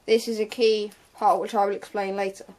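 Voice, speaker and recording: A woman's voice: medium pitch, flat delivery, a little fast, a little mellow. Hesitant young woman giving a tutorial. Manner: hesitant, offhand, trailing off into asides. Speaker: sound tech tutorial presenter. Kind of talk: informal unscripted hands-on tutorial.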